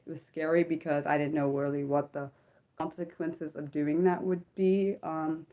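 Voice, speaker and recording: A woman in her thirties hosting a personal livestream from home. She speaks haltingly, with many ums and apologetic self-corrections, and her voice sounds slightly sick. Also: low voice, flat delivery, dark tone. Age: 30s. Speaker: livestream host. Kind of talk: personal reflective livestream talk.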